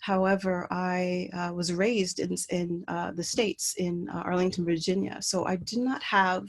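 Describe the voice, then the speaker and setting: A woman's voice: fairly low pitch, steady tone, a little slow. A woman from Puerto Rico, an artist walking through slides of her work in an online talk, speaking hesitantly with many ums and restarts over a video call mic. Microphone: computer mic on a video call.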